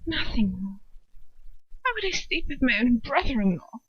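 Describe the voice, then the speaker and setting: A cruel storybook witch aunt, as a woman reader puts her on, high-pitched and manipulative. She moves between shrill menace and soft coaxing. The recording is dry and lo-fi. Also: sing-song, moderate pace, slightly rough, no echo, full character voice. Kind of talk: amateur fiction read-aloud.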